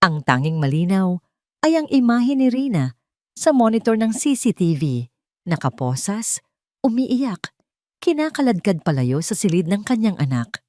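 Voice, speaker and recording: A woman's voice: fairly low pitch, darkish tone, no room sound, studio quality. A woman with a Filipino accent reading a scripted dramatic love story as its narrator.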